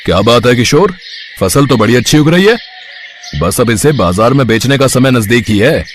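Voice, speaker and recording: An actor playing an arrogant, scolding rich village landlord with a husky voice. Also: male voice, medium pitch, lively intonation, moderate pace, a little hiss or hum, natural voice. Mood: pleased. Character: harsh rich middle-aged village landlord.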